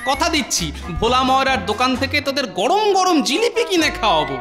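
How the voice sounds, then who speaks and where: A man's voice: extremely high pitch, sing-song, quick pace, over music. A man playing a witty, pot-bellied older cartoon court jester in a playful, exclamatory way. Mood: flustered. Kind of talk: Bengali cartoon voice acting.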